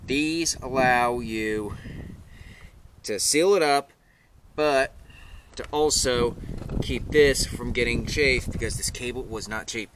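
Man vlogging outdoors over clear noise. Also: medium pitch, slow, long pauses, a bit bright. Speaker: vlogger showing his truck project. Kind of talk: casual how-to vlog narration. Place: outdoors by a vehicle in a residential yard. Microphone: handheld camera mic at arm's length. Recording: clearly noisy handheld outdoor recording, clicks.

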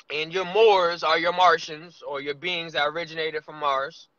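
A man's voice: a little high, fairly even intonation, sharp tone. Musing man talking in a car. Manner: loose, musing, drawn-out asides. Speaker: vlogger. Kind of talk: casual rambling monologue. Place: inside a parked car. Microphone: phone camera on dashboard. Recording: lo-fi phone audio.

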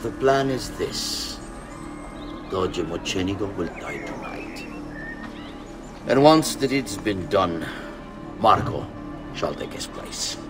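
An actor playing a commanding, menacing middle-aged master conspirator with an Italian accent, speaking deliberately in a dramatic game cutscene. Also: male voice, low-pitched, very animated, over music, full character voice. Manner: imperious, deliberate.

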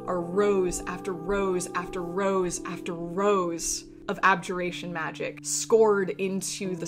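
A woman game master narrating a tabletop fantasy game with deliberate, descriptive storytelling. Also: on the low side. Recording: music playing behind. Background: music.

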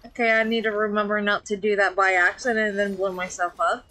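A woman streamer talks casually while she plays a game with friends listening in. She rambles, giggles often and drifts into filler words between thoughts. Her voice sits at an ordinary middle pitch. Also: flat delivery, fast talker, bright, forceful tone. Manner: chatty, giggly, trails off with fillers. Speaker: VTuber streamer. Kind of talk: casual gameplay commentary.

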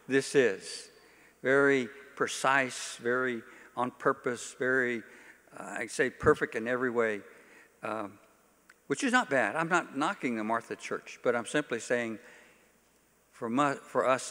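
A man's voice: mid-range voice, pushed and bright. An older man, a pastor, giving an informal church teaching talk to an audience with folksy, dry-humoured asides. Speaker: pastor leading a workshop. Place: large hall, speaking to a conference audience. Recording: reverberant hall, long echo.

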